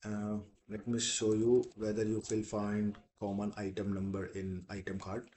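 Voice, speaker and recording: A man teaching in a software demo, explaining step by step in a halting, repetitive way. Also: on the low side, monotone, slight background noise. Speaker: software instructor.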